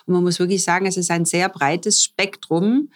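A woman's voice: on the low side, steady tone, normal speed. A middle-aged woman, hosting a podcast interview as the head of an organization and speaking in a friendly, formal way with many fillers. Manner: warm, formal address with frequent fillers. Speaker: managing director hosting the podcast.

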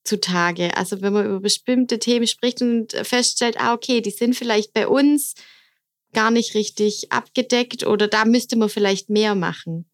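A woman hosting a podcast interview, asking friendly, encouraging questions and backing her guest with steady listening sounds. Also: mid-range voice, moderate pace. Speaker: podcast host, education officer.

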